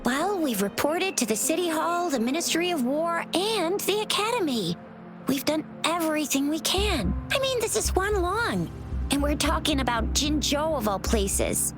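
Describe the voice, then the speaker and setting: A woman playing an energetic, cheeky young girl companion in a video game, bubbly and chatty. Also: high-pitched, moderate pace, music in the background. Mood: confident. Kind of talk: English video game voice acting.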